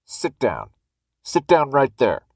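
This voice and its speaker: A man's voice: medium pitch, huge pitch swings, slow, gravelly, dry recording, clean studio sound, voice pushed up, full character voice. A man voicing a stern, angry armed guard in an audiobook, barking curt, menacing orders. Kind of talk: audiobook character dialogue.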